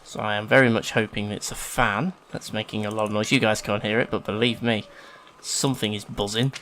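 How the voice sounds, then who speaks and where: A man's voice: lowish voice, fairly even intonation, fairly quick, fairly bright tone, a little hiss or hum. An English man with a sniffly hay-fever nose gives casual, chatty, self-deprecating let's play commentary as a gaming host. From England.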